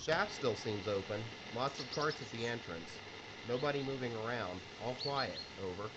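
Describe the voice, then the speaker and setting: An actor playing a watchful soldier giving a terse radio report in an audio drama. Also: male voice, mid-range voice, expressive, normal speed, lightly acted. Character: watchful American soldier reporting from lookout position. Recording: very noisy, dull military radio transmission.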